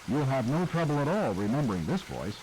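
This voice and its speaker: A man giving scripted documentary narration about old-time radio, smooth and wry, like a showman. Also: mid-range voice, sing-song, a little fast, rough recording.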